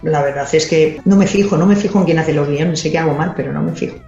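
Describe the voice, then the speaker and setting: A woman's voice: low-pitched, fast, vocal fry, warm tone, faint music behind. A woman from Madrid, a doctor and science communicator, answering quiz questions in a chatty, candid way. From Madrid, Spain. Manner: chatty, candid, self-deprecating. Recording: roomy, dull lo-fi recording.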